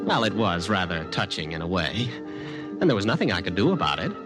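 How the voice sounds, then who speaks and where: A man's voice: sits low, big pitch swings, brisk, over music. An actor in a 1950s radio drama playing an exasperated, skeptical city man with a wry, incredulous manner. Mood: wry narrating. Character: exasperated, skeptical New York man plagued by alien protector. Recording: vintage 1950s radio recording.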